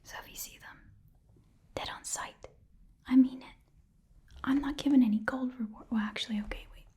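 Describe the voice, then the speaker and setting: A woman voicing a shrewd, chatty goblin shopkeeper in a breathy whisper, rambling and muttering to herself as she haggles in an ASMR roleplay. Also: high voice, fairly even intonation, normal speed, warm tone, close to an everyday voice. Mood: grudging, muttering. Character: shrewd, chatty goblin pawn shop keeper.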